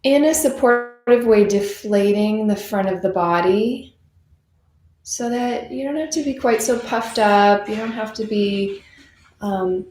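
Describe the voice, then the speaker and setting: A woman leading a guided meditation in an unhurried, conversational and reassuring manner, as a teacher would. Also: medium pitch, a little mellow, a little hiss or hum. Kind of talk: guided meditation, gentle unscripted instruction. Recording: roomy, echoing sound.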